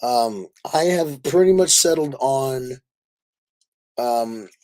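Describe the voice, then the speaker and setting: Raspy-voiced man hosting a chatty livestream. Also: medium pitch, slow, darkish tone. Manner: effusive, jokey, rambling. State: voice worn from talking a lot. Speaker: livestream host. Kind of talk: casual chat with live viewers.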